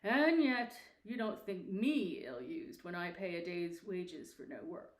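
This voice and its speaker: A woman's voice: fairly low pitch, lively intonation, a little slow, roomy sound. A woman voicing a miserly, sour old businessman who scolds grudgingly and sarcastically in a storybook reading. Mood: scolding, sour.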